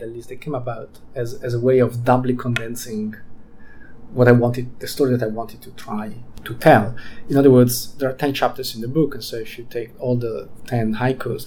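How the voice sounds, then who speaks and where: A man's voice: medium pitch, steady tone, normal speed, darkish tone, slight background noise. A man who grew up in Switzerland and whose first language is Italian, an author answering interview questions thoughtfully and often repeating words as he restarts.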